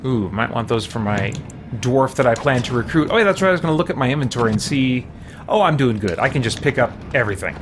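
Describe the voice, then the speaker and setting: A man's voice: mid-range voice, animated, quick pace, background noise. A man hosting a game livestream, talking casually as he plays and addresses his viewers. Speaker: livestream host playing a fantasy role-playing game.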